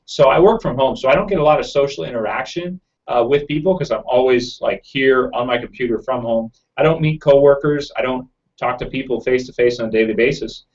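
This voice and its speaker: A man talks fast and loosely from home, trailing into fillers as he answers viewers' questions. His voice sits at an average pitch and sounds a little raw. The audio is dull and lo-fi, like a home computer mic on a live stream. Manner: fast-talking, rambling with many fillers. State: slightly raw throat. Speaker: YouTube channel host.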